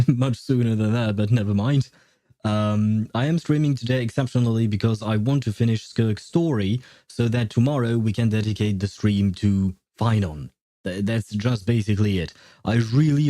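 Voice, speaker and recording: A man's voice: fairly low pitch, warm tone, dry recording, clean studio sound. A man hosting a livestream, chatting casually with viewers in a hesitant, repetitive way. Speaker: livestream host.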